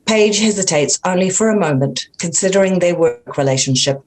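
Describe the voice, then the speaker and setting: A woman's voice: fairly low pitch, animated, brisk. A woman reading screenplay stage directions as a narrator, in a plain, matter-of-fact manner.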